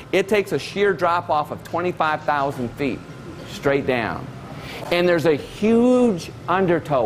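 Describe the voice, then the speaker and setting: A man's voice: fairly high pitch, a little hiss or hum, natural voice. A man voicing a laid-back young male surfer boat guide with a put-on Hawaiian accent, calling everyone dude. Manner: easygoing, calls everyone dude.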